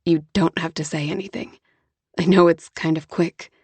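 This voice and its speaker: A woman voicing a tender, earnest young man in love, with a soft, low, hushed and coaxing delivery. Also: fairly even intonation, normal speed, breathy edge, no echo. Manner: gentle and coaxing.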